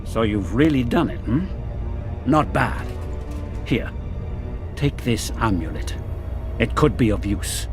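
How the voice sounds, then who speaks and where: An actor playing a hard, vengeful military commander in a fantasy video game, stern and blunt. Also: male voice, medium pitch, animated, slow pace, long pauses, music in the background. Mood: grudgingly impressed.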